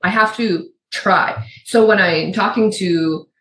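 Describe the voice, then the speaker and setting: A woman's voice: mid-range voice, slow pace, a bit bright, slight background noise. A middle-aged woman from Michigan's Upper Peninsula with a faint Yooper accent, co-hosting a podcast in rambling casual chat. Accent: Yooper, suppressed. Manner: chatty, rambling with restarts and asides. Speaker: podcast co-host. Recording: roomy echo.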